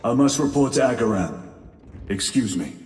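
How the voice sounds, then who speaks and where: An actor playing a stoic, battle-hardened space marine lieutenant in a video game, speaking formally, tersely and with restraint. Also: male voice, medium pitch, expressive, normal speed, a little mellow, slight background noise, close to an everyday voice. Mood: stoic, curt. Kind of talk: dramatic video game cutscene acting. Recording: reverberant hall, music behind.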